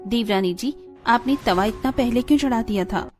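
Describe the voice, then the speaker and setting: A woman acting a sensible elder sister-in-law who explains and corrects. Her voice is fairly high, over light cartoon music. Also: normal speed, a little mellow, natural voice. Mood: patient correcting. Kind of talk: cartoon family drama acting.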